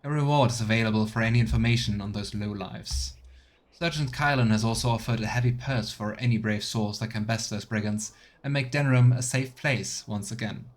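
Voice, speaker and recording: A man's voice: fairly low pitch, fairly quick. A man doing a let's play, reading quest text aloud and making casual asides as a game streamer.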